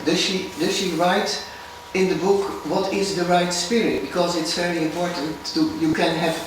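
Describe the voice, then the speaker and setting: A man in a seminar audience, asking a question and hesitating as he searches for the words. His voice is a little higher than average. He is heard from far off the mic in a very echoey hall, with steady noise behind. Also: moderate pace. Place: lecture hall.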